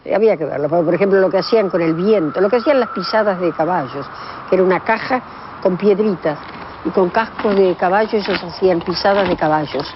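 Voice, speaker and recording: A woman's voice: lowish voice, fast talker, noisy background. Older Argentine woman, dull recording. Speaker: radio veteran recalling her career. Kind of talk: reminiscing in an interview. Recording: dull lo-fi sound.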